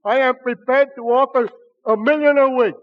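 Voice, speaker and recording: An actor playing a dim-witted, halting nitwit who poses formally as a grand sponsor in 1940s radio comedy. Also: male voice, very high-pitched, steady tone. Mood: earnest, befuddled. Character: Clifton Finnegan, dim-witted male nitwit posing as sponsor. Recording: dull, noisy, reverberant vintage radio recording.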